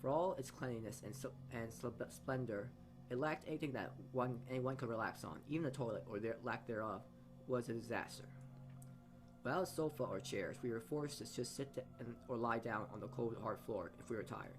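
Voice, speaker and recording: A man's voice: medium pitch, brisk, over music. A man reading a visual novel's narration aloud as a let's-player, often stumbling and rereading phrases.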